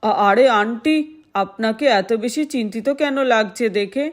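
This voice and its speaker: A woman's voice: a little high, normal speed, faint music behind, natural voice. A woman voicing a hungry, kindly boy god in a doll story, with an eager, earnest, childlike manner.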